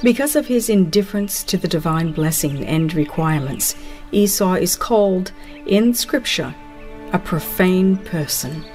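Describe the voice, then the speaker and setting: Expressive, unhurried woman audiobook narrator. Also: lowish voice, soft background music. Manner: solemn, expressive, formal reading. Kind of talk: dramatized scripted narration of a religious book.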